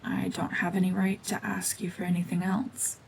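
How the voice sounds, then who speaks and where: A woman voicing a cold, mean, world-weary cyborg witch and war veteran in a hushed, breathy, brooding, deliberate ASMR drama monologue. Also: fairly low pitch, level tone, noisy background. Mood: guarded, slightly softening.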